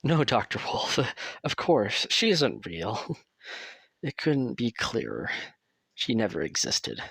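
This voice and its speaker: A man's voice: mid-range voice, wildly swooping pitch, takes it slowly, vocal fry, a bit bright, breathy edge, dry recording, full character voice. A man acting as a nervous, friendly young asylum patient in an audio roleplay, talking in a hesitant, confiding way. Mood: nervous, placating.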